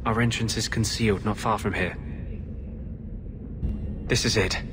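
A man's voice: lowish voice, fairly even intonation, unhurried, background noise, natural voice. An actor playing an earnest, bitter rebel mage in his thirties in a fantasy game, speaking intensely. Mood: grave, resolved.

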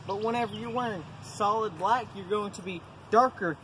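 A man's voice: high voice, normal speed, bright, forceful tone. A young man co-hosting a casual instructional chat in a folksy, informal way. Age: young adult. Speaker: co-host. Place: outdoors in woodland. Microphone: handheld camera a few metres away. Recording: outdoor ambient noise, camera mic.

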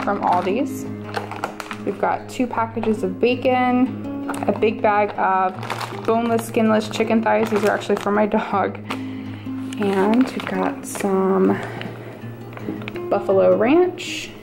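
A woman's voice: mid-range voice, vocal fry. Chatty woman narrating a grocery haul. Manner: chatty, listing items with quick asides. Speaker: vlogger showing a grocery haul. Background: guitar music.

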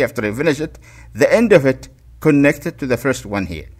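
A man narrating a hands-on tutorial as an instructor, going step by step, repeating instructions and checking in as he goes. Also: mid-range voice. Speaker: DIY electronics instructor.

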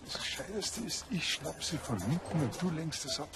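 A man's voice: on the high side, very animated, moderate pace, over loud music. An actor playing a naive, cocksure Bavarian policeman in a TV crime comedy, speaking colloquially. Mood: confident. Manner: colloquial, self-assured.

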